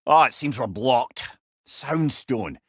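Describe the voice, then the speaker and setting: A man's voice: mid-range voice, lively intonation, slow, a bit bright, slightly rough, no echo, clean studio sound, full character voice. A man playing a witty, avuncular old Scottish counsellor in a video game, a severed head who talks in a wry, courtly, chatty way with salty turns of phrase. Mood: explaining. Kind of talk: video game character dialogue.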